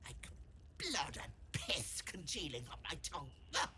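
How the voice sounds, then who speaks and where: A woman's voice: deep voice, sing-song, unhurried, background noise. An actress playing a cruel, wheedling old hag in a video game, folksy and scolding, with menace in her endearments. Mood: disgusted, furious. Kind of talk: video game character acting. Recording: game music behind the voice.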